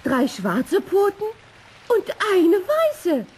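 An excited country child from a children's storybook, acted by a woman. The voice jumps very high and exclaims eagerly. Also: very slow, full character voice. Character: excited farm children, boys and girls.

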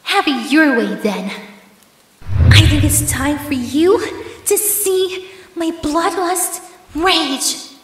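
A woman's voice: sits high, slight background noise. A woman playing a possessive, obsessive yandere ex-girlfriend in a horror ASMR roleplay, cooing endearments with menace. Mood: quietly menacing. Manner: slow, drawn-out, cooing endearments turning threatening. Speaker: audio roleplay performer. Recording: echoey reverberant hall effect.

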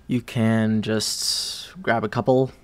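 A man voicing a shy, smitten young college student in a roleplay audio, halting and flustered as he talks. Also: lowish voice, little pitch movement, clean tone. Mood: casual, slightly nervous. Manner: halting, flustered stammering with nervous laughs.

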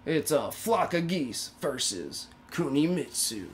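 A man's voice: on the high side, big pitch swings, takes it slowly, slight background noise. A young man with a cold-stuffed nasal voice, joking casually with a friend while playing a fighting game at home. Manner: playful, sings silly lines, yelps during fights. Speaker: gamer. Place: indoor room, on webcam.

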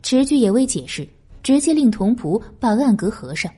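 A woman narrates a historical audiobook. Her voice is fairly high and very expressive, with a mellow, slightly husky tone. Music plays behind her. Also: moderate pace. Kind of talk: dramatic scripted narration of a historical novel.

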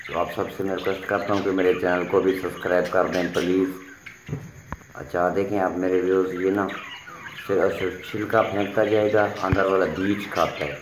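Deep-voiced man coaxing his pet birds. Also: level tone, moderate pace. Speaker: animal vlogger. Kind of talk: casual vlog narration to viewers. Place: outdoor yard by bird cages. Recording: roomy phone audio with loud yard noise.

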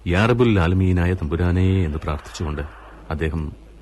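Deep-voiced man narrating a radio story. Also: a little mellow. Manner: measured storytelling delivery.

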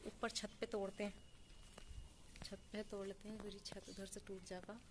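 A woman's voice: mid-range voice, level tone, normal speed. Chatty young woman vlogging outdoors. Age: 20s. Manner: chatty, showing things to the camera. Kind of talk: casual village vlog. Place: outdoor village courtyard and rooftop. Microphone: phone held at arm's length. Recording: clear outdoor background noise.

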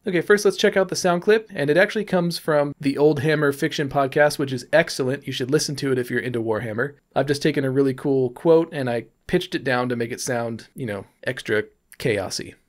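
Man, mid-pitched voice, casual tutorial narration, informal and explanatory. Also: brisk, few pauses. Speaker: 3D animation tutorial presenter.

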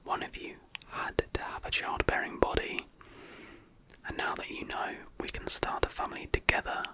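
A woman's voice: normal speed, natural voice. A woman whispering as a smug killer husband. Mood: cold. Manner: whispered, casual and self-justifying. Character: cold, smug male wife-killer. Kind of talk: whispered horror storytelling.

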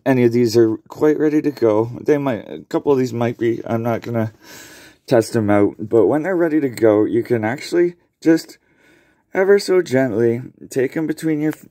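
A man's voice: medium pitch, mellow, no room sound. A man casually explaining his home worm bins while filming his hands, rambling with lots of ums and self-corrections. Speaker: home worm composting vlogger. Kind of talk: casual show-and-tell demonstration. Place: basement, indoors. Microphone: handheld camera mic, filming his own hands.

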